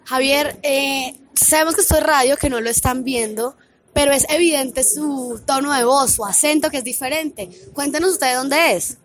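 A woman's voice: high-pitched, normal speed. A woman hosting a radio interview, asking questions in a friendly, conversational way. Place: radio booth at a book fair stand.

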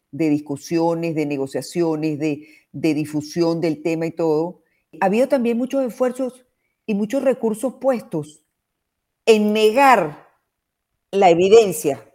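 A woman's voice: lowish voice, warm tone. A Venezuelan woman in her sixties, a biologist, explaining things in a thoughtful, searching way as a radio interview guest. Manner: thoughtful, explanatory, with many restarts and "o sea" asides.

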